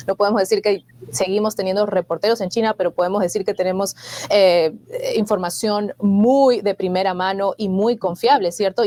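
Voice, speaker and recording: Peruvian lady hosting an interview, kinda flat steady voice, lots of "eh"s. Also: medium pitch. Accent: Peruvian Spanish. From Peru. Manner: many filler "eh"s, even delivery. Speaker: show host. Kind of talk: interview conversation.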